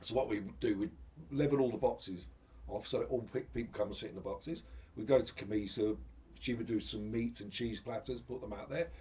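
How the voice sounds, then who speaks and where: A man's voice: fairly low pitch, a little fast, dark tone, distant mic, rough recording. A middle-aged man, a shop manager, telling rambling anecdotes with many restarts in a casual interview.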